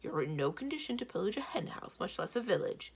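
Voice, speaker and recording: A woman voicing a bossy, practical giantess wife in a storybook, brisk and scolding in manner. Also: medium pitch, very animated, vocal fry, slightly rough, heavily acted. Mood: exasperated.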